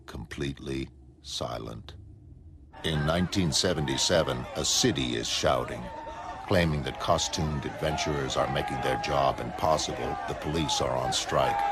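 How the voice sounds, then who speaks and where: A man playing a detached, melancholy godlike superhuman who narrates a motion comic in a measured, solemn, flat manner. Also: a bass voice, background noise. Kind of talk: first-person dramatic narration of a motion comic. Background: music.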